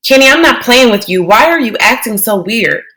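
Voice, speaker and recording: A bossy, whiny little girl who keeps threatening to tell on her brother, as a woman reader plays her. She speaks well above the reader's own pitch and gets louder as she pushes. The voice comes from a storybook reading. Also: expressive, moderate pace. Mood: upset.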